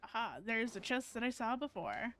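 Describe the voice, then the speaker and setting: A woman's voice: on the high side, lively intonation, moderate pace, fairly bright tone, a little hiss or hum. A woman giving casual live gameplay commentary, musing and thinking aloud in a hesitant way. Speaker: game streamer.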